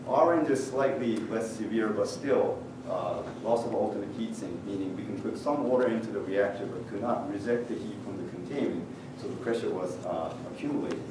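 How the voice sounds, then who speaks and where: Japanese-accented man, echoey distant hall. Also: fairly low pitch. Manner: measured, technical, many "uh" pauses, dry humor. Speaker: conference speaker. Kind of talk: presentation talk with slides. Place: large conference hall. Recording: echoey hall picked up from far off with noise.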